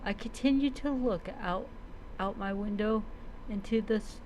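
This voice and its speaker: A woman with a mid-range voice narrates a tale in character, taking her time. She reads haltingly and slips into reminiscing asides. There is noticeable ambient noise behind her. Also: mid-range voice, darkish tone, low-quality recording. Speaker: roleplay streamer. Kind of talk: in-character storytelling, reading a story aloud.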